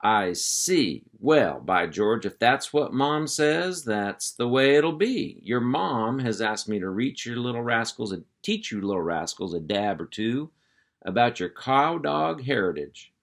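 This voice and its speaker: A man's voice: mid-range voice, expressive, measured pace, voice pushed up. A man playing a boastful cow dog. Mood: hearty. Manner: folksy drawl, hearty and lecturing. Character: boastful male ranch cow dog, folksy.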